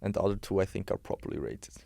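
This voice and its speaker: A young man in his twenties talks frankly and bluntly, restarting sentences as he works out his view. His voice sits a little low, and the sound is close and dry, with no room echo. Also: animated, racing, vocal fry, mellow. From Switzerland. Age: 20s. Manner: frank, opinionated, thinks aloud with restarts. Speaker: guest, bodybuilding and health coach. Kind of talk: casual podcast interview.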